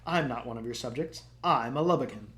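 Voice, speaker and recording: A man's voice: medium pitch, fairly quick, a bit bright, natural voice. A man acts out an arrogant young prince from a storybook, cold and scheming. He drawls his threats with dramatic menace, pushing his volume up. The voice sits in an ordinary middle range. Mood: menacing.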